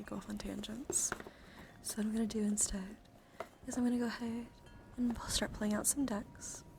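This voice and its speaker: A woman streaming ASMR as host, talking in a soft, breathy, often whispered voice, gentle and rambling as she addresses her viewers. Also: medium pitch. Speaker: livestreamer and VTuber host. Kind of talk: ASMR, softly whispered livestream chat. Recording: clearly noisy ambient background.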